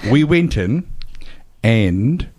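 An older New man with a chatty, easygoing manner, chuckling as he spins anecdotes. His voice sits at an ordinary pitch for a man, and he talks in a relaxed, conversational way. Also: drawn-out words. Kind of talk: casual chat.

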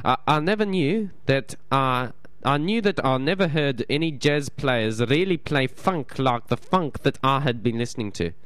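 A man's voice: medium pitch, expressive, normal speed, hardly any pauses, fairly bright tone, smooth, dry recording. A man from New hosting a community radio show, chatting casually, rambling and reading notes aloud, often stumbling and repeating words.

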